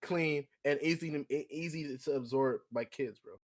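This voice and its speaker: A young American man co-hosting a podcast in casual, slangy group chat, telling things in an animated storytelling way. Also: a little high, moderate pace. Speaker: podcast co-host.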